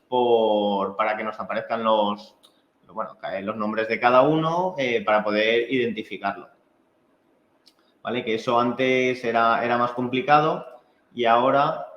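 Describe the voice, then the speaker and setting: A man with a Spain Spanish accent giving an informal technical training, explaining in a halting, conversational way with frequent check-in tags. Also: medium pitch, fairly bright tone. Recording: echoey room, dull muffled sound.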